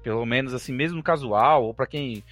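A man's voice: medium pitch, normal speed, a bit bright, no room sound, faint music behind. A man with a Brazilian Portuguese accent chatting casually about video games, often stumbling over and repeating his words. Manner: hesitant, repeats and restarts words, laughs often. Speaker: gaming podcast co-host. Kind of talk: casual chat.

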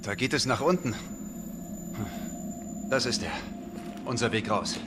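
Man acting earnest young cop, swinging pitch, noisy backdrop. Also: animated, a little slow, natural voice. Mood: earnest, reassuring. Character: earnest young male police officer in zombie outbreak. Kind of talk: German audio drama acting of a video game. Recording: noisy, with background ambience.

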